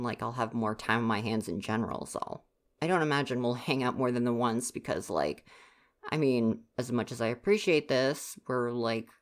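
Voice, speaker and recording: A young woman playing a grumpy, teasing but secretly caring theater stagehand in a casual ASMR roleplay, sarcastic and chatty. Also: extremely low pitch. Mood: flustered. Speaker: roleplay voice performer. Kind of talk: ASMR roleplay, casual one-sided dialogue.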